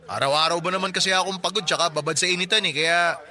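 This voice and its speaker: A man's voice: high voice, fast talker, pushed and bright. An actor in his twenties playing a friendly, chatty working-class neighbor who jokes and offers help, in a radio drama. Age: 20s. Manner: chatty, joking, helpful. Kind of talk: Tagalog radio drama acting.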